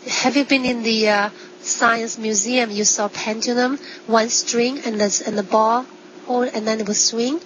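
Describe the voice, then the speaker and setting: A woman's voice: fairly high pitch, steady tone, unhurried, muffled recording, a little hiss or hum. A woman doctor explaining health in an interview, using simple everyday examples. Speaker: medical doctor and acupuncturist.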